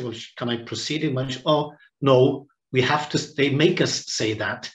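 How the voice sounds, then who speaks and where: A man in his sixties giving a reflective seminar talk over a video call from home, pausing and restarting as he thinks. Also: medium pitch. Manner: reflective, with many pauses and restarts. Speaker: guest speaker. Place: home room, indoors. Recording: roomy echo on a video call.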